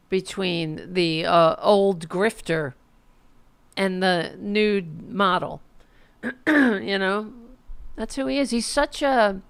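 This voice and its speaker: A woman's voice: medium pitch, gravelly, clear, resonant tone. A woman hosting a casual political livestream, sarcastic and profane, who is losing her voice. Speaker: political commentary host.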